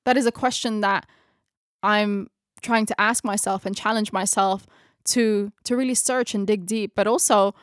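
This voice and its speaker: A woman's voice: mid-range voice, fairly even intonation, moderate pace, no room sound, clean studio sound. Woman leading a reflective bible study. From Philippines. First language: Tagalog. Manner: reflective, searching for words, laughs at herself. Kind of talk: closing a bible study discussion.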